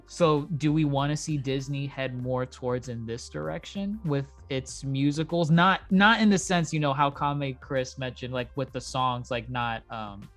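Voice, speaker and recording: A man's voice: medium pitch, normal speed, hardly any pauses, fairly bright tone, faint music behind. A man hosting a casual podcast discussion, steering the panel with questions and hesitant "um" and "you know" fillers.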